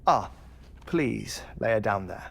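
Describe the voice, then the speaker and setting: An actor playing a calm, measured, dryly wry man who dies every twenty-one minutes, in a video game cutscene. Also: fairly low pitch, gravelly, breathy edge, heavily acted. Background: game soundtrack music.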